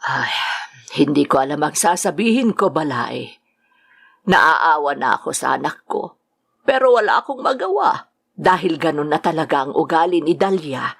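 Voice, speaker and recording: An actress playing a gentle, troubled older mother-in-law in an audio drama, resigned and conciliatory. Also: deep voice, big pitch swings, normal speed, vocal fry, breathy edge, full character voice. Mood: sorrowful, conciliatory. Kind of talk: Tagalog radio-style drama acting.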